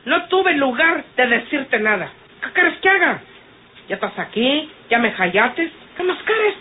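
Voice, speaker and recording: Secretive, firm Mexican woman, high voice, old radio play on a thin recording. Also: very animated, unhurried. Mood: weary, defensive. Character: firm, secretive woman placating, then silencing others. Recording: tinny, noisy vintage radio recording.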